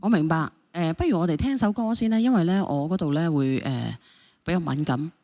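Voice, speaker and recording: A woman's voice: sits low, brisk, dark tone. A woman with a Hong Kong Cantonese accent hosting a relationship phone-in, cutting in and repeating her questions in a flustered way. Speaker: host of a phone-in show.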